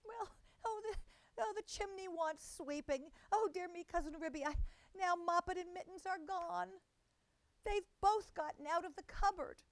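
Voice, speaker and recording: Woman doing a high wailing fretful mother cat. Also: normal speed, no echo. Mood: tearful, fretting. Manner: wailing, fussing.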